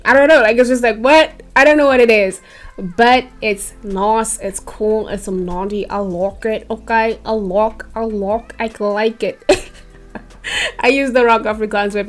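A young woman from South Africa running her own channel in casual chat, bubbly and checking in with viewers, her voice a little worn, speaking into a desk mic in a small bedroom. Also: fairly high pitch. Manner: bubbly, talks to viewers with frequent "okay?". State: losing her voice. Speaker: YouTuber. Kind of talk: casual chatty vlog. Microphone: desk microphone.

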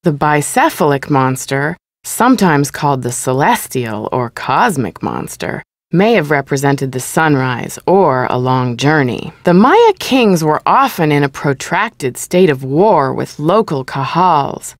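Woman, very low voice, formal measured museum narration, explanatory, dry studio sound. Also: very animated, no echo. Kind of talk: scripted educational narration for a video game.

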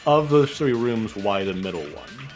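A man's voice: mid-range voice, animated, normal speed. A man in a casual gameplay discussion, reasoning aloud and pressing his point as a fan dub voice actor. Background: game music.

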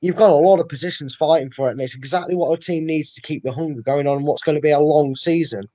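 A young man talks football casually and with firm opinions. His voice sits a little high yet has a dark, relaxed tone, recorded dry and slightly dull over a video call. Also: fast talker, no room sound. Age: young adult. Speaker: fan channel co-host. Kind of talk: casual football post-match chat. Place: living room at home, indoors. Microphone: video call, webcam.

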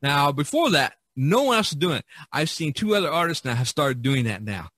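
Male podcast host, casual and conversational, bright tone, dry close recording. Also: on the high side, sing-song, fairly quick, no echo.

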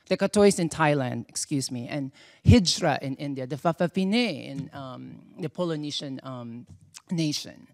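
A woman's voice: sits low, darkish tone. A woman from the Philippines speaking passionately on a panel into a desk microphone in a large conference hall, often repeating words as she searches for them.

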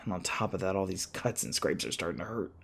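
A man playing a shaken, apologetic young human in an audio roleplay, speaking hesitantly with stammers and halting phrases. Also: deep voice, lively intonation, vocal fry, a bit bright, a little hiss or hum, full character voice. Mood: weary, uneasy. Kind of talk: ASMR audio roleplay, naturalistic acting.

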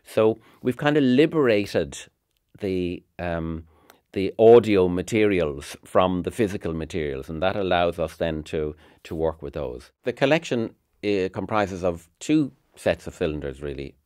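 A middle-aged man talks in a very low voice, reflective and a little halting, as in a documentary interview. Fiddle music plays behind him. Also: lively intonation, darkish tone, no room sound, studio quality. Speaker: university music academic.

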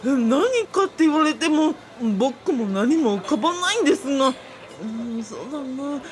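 A woman's voice: high voice, expressive, moderate pace. A woman playing a polite, timid but playful young boy in a light radio drama, hesitant and giggly. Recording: music behind the voice.

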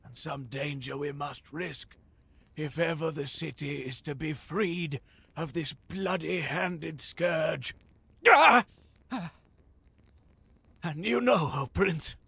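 An actor playing a frail, cursed philosopher in a fantasy audio drama, solemn and theatrical, with a gravelly, husky voice. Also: male voice, a little high, animated, slow pace, pushed and bright, dry recording, heavily acted. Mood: grave, secretive, then urgent, welcoming. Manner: theatrical, portentous, archaic phrasing.

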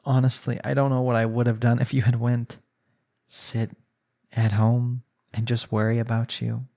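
A man's voice: medium pitch, little pitch movement, moderate pace, dark tone, lightly acted. A young man playing a jealous, lovestruck husband in an intimate romantic audio roleplay, speaking in an earnest, pleading way. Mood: relieved, tender. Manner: earnest, pleading, sighing delivery.

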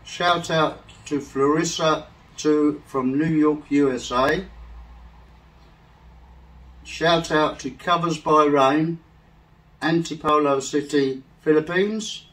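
A middle-aged English man reading shout-outs slowly and carefully in a casual home vlog, seated on a living-room sofa. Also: a little high, fairly even intonation, far from the mic, poor audio. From England. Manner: slow, soft-spoken, careful over names. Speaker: vlog co-host. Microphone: far camera.

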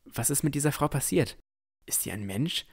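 A man playing a dreamy, curious young villager who narrates a fantasy audio drama in measured tones. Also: a little high, lively intonation, husky. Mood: puzzled, tense. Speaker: narrator and lead character.

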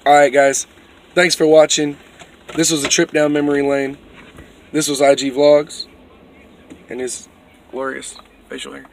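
A young man, vlogging loosely and profanely from inside a moving SUV, his voice worn and going hoarse. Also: on the high side, level tone, a little slow, long pauses, slight background noise. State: losing his voice. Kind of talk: casual car vlog. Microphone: phone held at arm's length.

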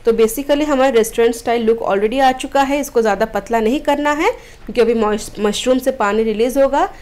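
Woman, from Odisha, cooking channel host, slightly high voice, friendly step-by-step voice-over. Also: fairly quick, darkish tone. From Odisha, India. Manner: friendly step-by-step instructions, mixing English words. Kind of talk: recipe voice-over narration.

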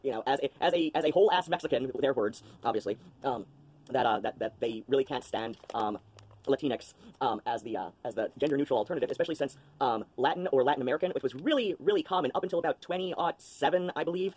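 A man's voice: medium pitch, fast talker, darkish tone, husky. A man chatting casually at home into a webcam during an unboxing, rambling with lots of ums and restarts. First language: English. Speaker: vlogger. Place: small cluttered room at home, indoors.

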